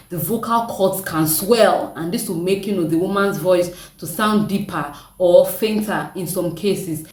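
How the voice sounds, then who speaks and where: A young woman with a deep voice, chatting casually to her viewers and laughing often. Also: distant mic. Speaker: vlogger. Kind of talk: casual chat to camera. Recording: echoey room, mic set back.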